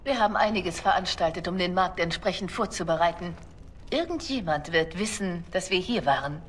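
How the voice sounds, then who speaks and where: A woman's voice: on the low side, expressive, moderate pace, slight background noise, close to an everyday voice. An actress voicing a young female spy in a video game, earnest and questioning, loyal but worried. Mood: uneasy, earnest. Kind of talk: dramatic video game dialogue.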